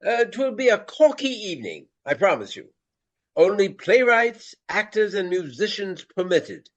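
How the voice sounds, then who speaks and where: An actor playing a vain, jovial Regency stage actor with courtly, effusive, old-fashioned speech in a radio play. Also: male voice, high-pitched, big pitch swings, measured pace, muffled recording, heavily acted. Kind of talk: radio drama acting.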